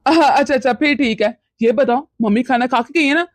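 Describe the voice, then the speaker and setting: A mild, bewildered young husband and devoted son in a domestic melodrama, played by a woman. The voice is high, with flustered questions and repeated words. It is recorded very dry. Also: brisk, dry recording. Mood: concerned. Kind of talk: melodramatic domestic story acting.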